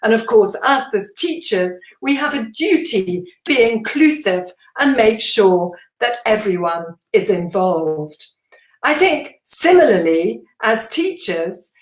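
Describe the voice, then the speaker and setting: A woman giving an instructional presentation to teachers as a trainer, explaining in a measured way with deliberate emphasis. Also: mid-range voice, echoey room, low-quality recording.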